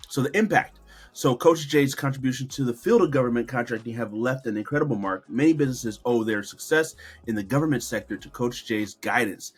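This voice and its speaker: A man's voice: medium pitch, a bit bright, breathy edge. Podcast host, a man with emphatic delivery. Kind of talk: interview podcast introduction and casual questions.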